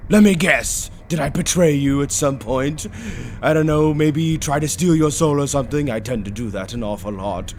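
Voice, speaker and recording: A man's voice: fairly high pitch, moderate pace, a little hiss or hum, natural voice. A man voicing a suave, theatrical demonic bird tempter in a roleplay audio, drawling with mockingly polite, condescending villainy. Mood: mocking, amused. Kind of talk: scripted character roleplay audio.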